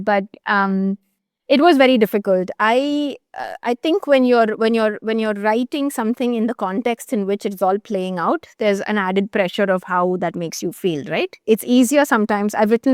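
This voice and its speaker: A woman talks through a complex subject as an interview guest. She thinks aloud, pausing with fillers and restarting her sentences, at a middling pitch. The sound is close and dry. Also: clean tone, no room sound. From Chennai, India. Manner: thoughtful, explanatory, frequent fillers and restarts. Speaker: journalist guest. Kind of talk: conversational interview.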